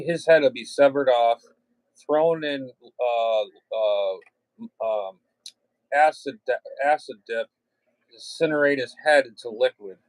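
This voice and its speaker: A man's voice: mid-range voice, no echo, low-quality recording. Blunt man chatting casually, dry close sound. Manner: blunt, slangy, swearing. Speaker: livestream host and ham radio hobbyist. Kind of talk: casual livestream chat.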